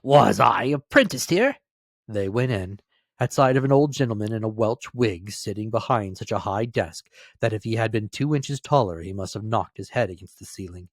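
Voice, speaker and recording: A man's voice: lowish voice, fairly quick, dry recording, studio quality. A man narrating a classic story as a dramatic reading.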